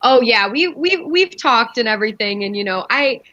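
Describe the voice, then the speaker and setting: Woman, sharp bright tone, middle pitch, playful, tongue-in-cheek, casual comic interview, dull lo-fi sound. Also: expressive, normal speed. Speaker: guest comedy performer.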